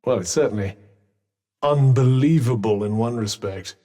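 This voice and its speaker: A man's voice: medium pitch, normal speed, dark tone, natural voice. A man with a British accent voicing a haughty, sardonic magical cuff in a video game, speaking with dry, articulate understatement. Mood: dry, wry. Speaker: voice actor. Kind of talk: video game companion dialogue.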